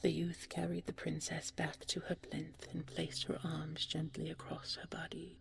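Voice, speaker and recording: A woman narrating a scripted bedtime fairy tale as a sleep aid, in a hushed, breathy, soothing manner. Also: deep voice, monotone, normal speed, whispered. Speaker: audio roleplay storyteller.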